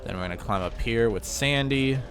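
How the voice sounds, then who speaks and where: A man's voice: mid-range voice, fairly quick, fairly bright tone, faint music behind. A man with a slightly nasal, congested voice giving a chatty, rambling walkthrough of a video game. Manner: chatty, rambling, with frequent ums and self-corrections. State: mild sinus congestion. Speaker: gaming channel host. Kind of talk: casual gameplay commentary.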